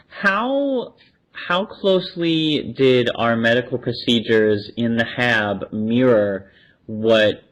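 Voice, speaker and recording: A man's voice: fairly low pitch, a bit bright. A man hosting a conversational interview, asking curious questions as he goes. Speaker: podcast host. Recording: dull lo-fi podcast audio.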